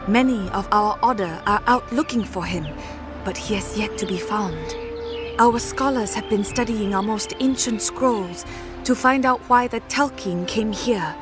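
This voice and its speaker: A woman's voice: mid-range voice, natural voice. An actress playing a solemn female warrior-scholar of an ancient order in a video game, speaking with earnest, formal drama.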